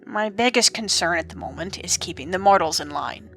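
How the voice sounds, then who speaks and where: A woman voicing an exasperated old male griffin sun god in an audio drama, measured and authoritative, with sighs. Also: fairly low pitch, expressive, vocal fry, a bit bright, soft background music. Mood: weary, exasperated.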